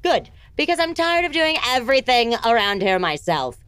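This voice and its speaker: A woman's voice: a little high, big pitch swings, moderate pace, a bit bright, clear, resonant tone, no room sound. A woman voicing an imperious, impatient evil empress in a comic fantasy audio drama, haughty, exasperated and scornful.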